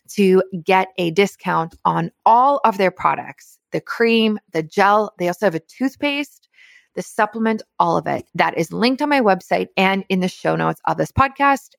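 A woman's voice: on the low side, vocal fry. A young woman hosting a podcast, talking casually with lots of fillers and rambling asides. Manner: chatty, filler-heavy, rambling. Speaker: podcast host.

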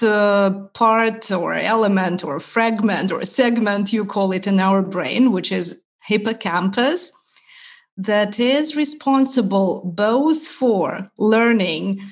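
A woman from Ukraine whose first languages are Russian and Ukrainian, a language teacher speaking as a podcast guest in a measured, explanatory way with frequent pauses. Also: medium pitch. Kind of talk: podcast interview.

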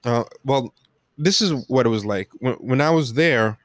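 An American man talking casually and reflectively in an interview, feeling his way through his points in a halting manner. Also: medium pitch, no room sound, clean studio sound. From United States. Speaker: podcast guest.

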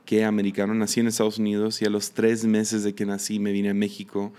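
Mexican-accented male missionary in his 30s, slightly low voice, relaxed hesitant teaching monologue. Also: brisk. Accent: Mexican Spanish. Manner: hesitant, many fillers and restarts. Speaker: missionary podcast host.

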